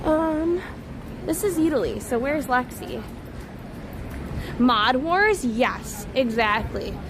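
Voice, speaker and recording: A young woman with a high voice streams live from a phone as she walks a busy street, chatting easily and answering her viewers' comments. Her voice sounds slightly strained. Loud traffic noise runs under everything she says. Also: expressive, takes it slowly. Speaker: livestream host. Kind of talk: casual live stream chat while walking. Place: outdoors on a busy city street.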